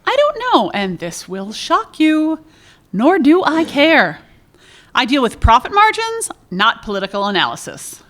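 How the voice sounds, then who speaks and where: A woman voicing a skeptical business-minded smuggler in a radio play, with dry, sarcastic comebacks. Her bright voice rises and falls widely in pitch. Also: wildly swooping pitch, unhurried, exaggerated voice. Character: dry, practical female smuggling partner, skeptical. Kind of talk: comic radio play acting.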